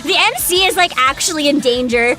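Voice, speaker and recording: A woman streamer in casual livestream chat, excitable and giggly, rambling with frequent laughter. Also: high voice, fairly quick, faint music behind. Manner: reads a plot description aloud with asides. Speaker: VTuber streamer.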